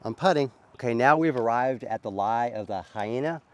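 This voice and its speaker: A man's voice: mid-range voice, moderate pace. A man with a sore throat from a cold, chatting casually as host of a disc golf vlog on an outdoor course. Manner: easygoing, chatty, frequent uhs.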